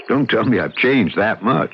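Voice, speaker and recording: Genial, fusty convalescent around fifty, wry and theatrical, low animated voice, dull radio sound. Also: male voice, slight background noise. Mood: amused. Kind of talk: radio drama acting with reflective narration. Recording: dull old radio drama recording.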